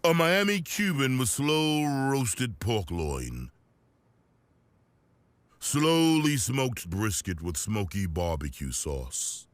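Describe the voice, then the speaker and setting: A man's voice: medium pitch, huge pitch swings, slow pace, no echo. A man reading a scripted food commercial as a voice-over.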